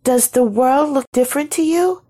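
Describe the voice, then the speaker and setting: A woman's voice: on the high side, very animated, a little slow, slightly rough, pitched above the performer's own voice, exaggerated voice. A woman voicing an innocent, trusting young woman from a storybook, in a light, naive manner. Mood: trembling, uneasy. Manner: light, naive, bright-eyed.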